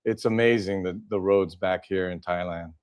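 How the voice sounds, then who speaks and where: A man's voice: low-pitched, fairly even intonation, normal speed, darkish tone, smooth. An older man from Southern California, a guest in a casual interview, talking with many fillers and restarts.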